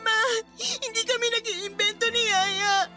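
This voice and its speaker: A woman's voice: very high-pitched, steady tone, a little slow, over music. A woman with a Filipino accent playing a frightened, tearful, pleading teenage daughter in a radio drama.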